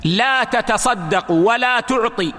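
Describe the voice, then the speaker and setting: High-voiced man teaching in an echoing hall. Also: pushed and bright. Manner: measured, explanatory, slows down to stress quoted texts. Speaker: religious teacher. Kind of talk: religious lesson. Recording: long hall reverb.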